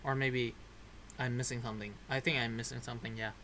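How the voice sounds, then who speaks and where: A man giving casual game commentary in a halting way, searching for words. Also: mid-range voice, flat delivery, pushed and bright, slight background noise. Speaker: gamer reacting to game story.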